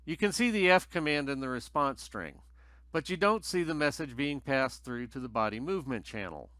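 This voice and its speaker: Bright-voiced man narrating a technical tutorial. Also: medium pitch, expressive, moderate pace, dry recording. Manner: methodical, explanatory. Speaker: tutorial presenter.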